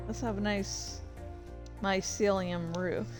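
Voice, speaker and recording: A woman's voice: medium pitch, fairly even intonation, takes it slowly, over music. A woman reading their own fan fiction aloud as a streamer, moving between story narration and casual asides.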